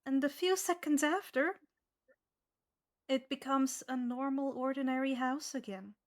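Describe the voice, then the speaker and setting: A woman's voice: sits high, measured pace. A woman narrating a tabletop fantasy game as the game master.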